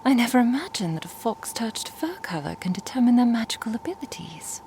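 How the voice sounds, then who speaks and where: A woman playing a curious, composed traveling merchant and master in an ASMR roleplay, speaking in a measured, formal, inquisitive way. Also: fairly high pitch, sing-song, a little mellow, slight background noise. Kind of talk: ASMR roleplay audio drama.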